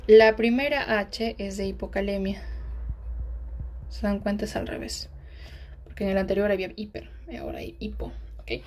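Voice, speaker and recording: A woman teaching pharmacology while writing on a diagram. She keeps checking in with rhetorical questions and sometimes drops into a hushed aside. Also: medium pitch, sing-song, moderate pace, pauses often. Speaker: pharmacology tutor.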